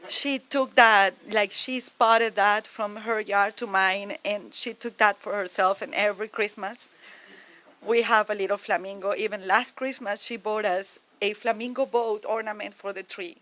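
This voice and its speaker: A woman giving a memorial tribute to a neighbor and friend, reminiscing fondly with pauses. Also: mid-range voice, fairly even intonation, bright, forceful tone, low-quality recording.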